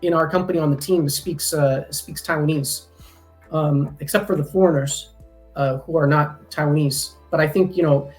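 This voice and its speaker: A man's voice: on the high side, steady tone, moderate pace, faint music behind. A man in a casual podcast conversation, talking at length with frequent ums and restarts. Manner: rambling, many ums and restarts. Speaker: guest, language company founder. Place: lives in Taiwan.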